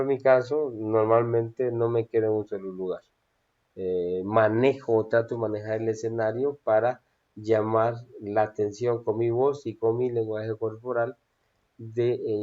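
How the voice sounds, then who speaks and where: A man's voice: fairly low pitch, warm tone, highs cut off. A man teaching a class on public speaking, hesitating often and checking in with his students. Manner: hesitant with frequent "eh" fillers and checking "¿sí?". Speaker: instructor.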